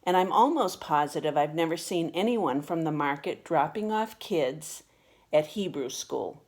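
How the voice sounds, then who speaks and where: A woman narrates a children's story in a slightly low-pitched voice. Her pace is slow and deliberate, and she reads expressively, as a storyteller does with a picture book. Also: expressive, darkish tone. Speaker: storybook reader.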